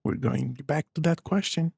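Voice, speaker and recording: A man streams a game with casual, musing remarks. His voice is creaky and husky, with a dark, relaxed tone, recorded close and dry. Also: fairly high pitch, normal speed, dry recording. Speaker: streamer playing and reacting to a game. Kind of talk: casual gameplay commentary.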